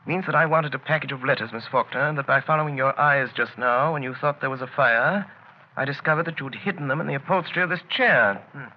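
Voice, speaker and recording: Old radio drama, dull hissy sound, male actor as shrewd gentleman detective, commanding, courteous, formal, mid-pitched. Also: fast, lightly acted. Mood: calm, assured. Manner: formal, precise, dryly polite. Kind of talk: 1930s radio drama acting.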